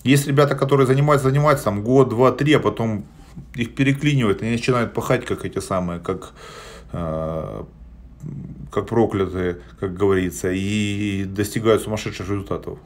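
A man's voice: lowish voice, moderate pace. A man in his forties chatting on a live stream from a small indoor room, answering viewer questions bluntly, with many hesitation sounds. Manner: plain-spoken and blunt, with frequent hesitation sounds. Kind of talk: casual live-stream chat. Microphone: phone held at arm's length.

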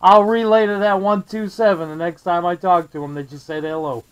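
A man's voice: high voice, level tone, fairly quick, smooth. An American man chatting as a CB radio operator in a friendly manner, with call signs and radio jargon. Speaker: CB radio operator, host of the channel.

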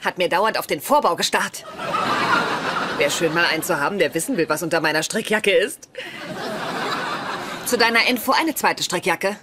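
A woman's voice: on the low side, lively intonation, normal speed, pauses often, close to an everyday voice. A woman playing a wry, dryly joking young single woman in German-dubbed sitcom dialogue. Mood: wry, chatty. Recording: clear background noise.

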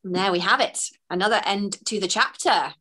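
A woman's voice: medium pitch, a little fast, rough recording. A woman, an author reading her children's book aloud expressively, over a webcam from a home room. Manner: expressive, storytelling. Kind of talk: children's read-aloud narration. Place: home room with bookshelves, indoors.

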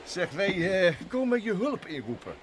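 An actor playing an eager, stammering professor and inventor, on an old radio play tape with hiss. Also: male voice, sits high, sing-song, moderate pace. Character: excitable middle-aged male professor inventor. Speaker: professor Ben Curtis. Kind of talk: 1960s radio drama acting.

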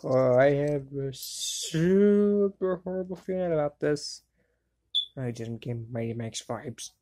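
A woman's voice: sits low, animated, slow pace, warm tone. A woman doing a wisecracking boy wizard. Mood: excitable. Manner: wisecracking, yelps and exclamations. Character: jokey excitable young boy wizard. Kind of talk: fan dub voice clips.

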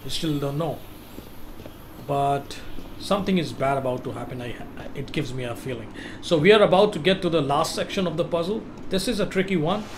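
A man with a fairly high voice hosts a casual gaming walkthrough. He explains step by step, often repeating himself, over clearly audible game ambience and hum. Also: brisk. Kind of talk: casual explanatory gameplay commentary.

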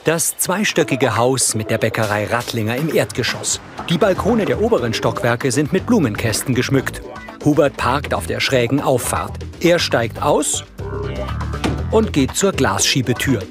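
A man's voice: mid-range voice, very animated, normal speed, music in the background. A man reading scripted narration, describing scenes for the audio version of a TV drama.

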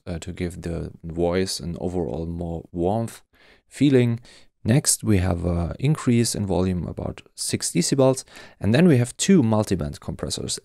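Man, tutorial presenter, low pitch, very dry close sound, measured explaining. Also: gravelly, darkish tone, no echo.

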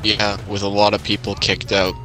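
A man's voice: on the low side, monotone, moderate pace, sharp tone, soft background music, rough recording. A man improvising as a firm, guarded leader of a survivor settlement in a zombie game skit. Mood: matter-of-fact. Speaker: player in a roleplay skit. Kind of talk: improvised game roleplay dialogue.

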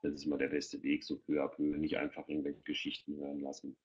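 Low-voiced man on a dull video call. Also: monotone, quick pace. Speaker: audio producer for children, panelist. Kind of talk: explanatory answers in an online parents' Q&A. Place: indoors at home, video call. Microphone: headset on a video call.

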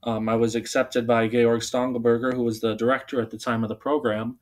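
A young man who was born in Bulgaria, a guest on a radio interview, talking in a relaxed, halting way with many ums. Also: mid-range voice. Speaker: opera singer guest. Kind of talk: casual interview talk. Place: radio studio.

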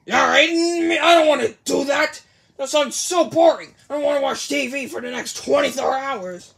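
A woman's voice: sits high, normal speed, gravelly, fairly bright tone. A woman voicing a male pirate fox animatronic who whines and grumbles in pirate talk in a homemade plush video. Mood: whiny.

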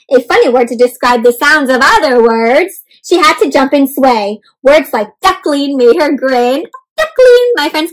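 Young woman, high voice, lively children's read-aloud, close webcam at home. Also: poor audio. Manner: animated, addresses kids as "my friends". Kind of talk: interactive picture-book read-aloud. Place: home room with bookshelf and plants.

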